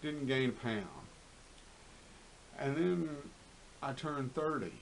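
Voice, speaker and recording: A man's voice: medium pitch, slow, creaky, a little hiss or hum, poor audio. A man in his fifties talking candidly to camera in a rambling, profane way, full of fillers and restarts. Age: 50s. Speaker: fitness vlogger. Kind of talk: casual monologue to camera.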